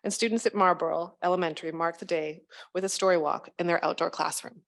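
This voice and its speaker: A woman's voice: on the low side, fairly even intonation, fast, creaky. A woman reads out community news as a school trustee. She follows a script with formal delivery. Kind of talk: scripted news roundup reading.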